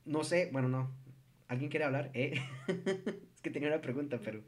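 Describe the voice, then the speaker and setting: A man's voice: fairly high pitch, moderate pace. A young man with a Costa Rican accent hosting a casual group chat, laid-back and slangy with lots of filler words. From Costa Rica.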